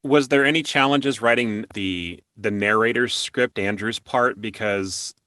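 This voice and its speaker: A man's voice: on the low side, unhurried, bright, forceful tone, no room sound. A man hosting an interview in a conversational style, explaining at length as he works toward his questions. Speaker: interview show host.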